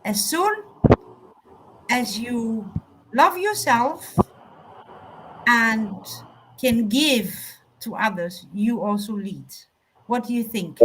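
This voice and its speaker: Slow-paced woman, native German speaker, reflective. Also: fairly high pitch, animated, a bit bright, a little hiss or hum, rough recording. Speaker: panelist on a talk show. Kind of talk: reflective unscripted panel talk.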